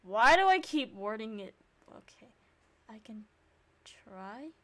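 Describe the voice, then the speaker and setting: A woman streamer reading visual novel narration and dialogue aloud, with sarcastic, expressive reactions. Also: a little high, takes it slowly.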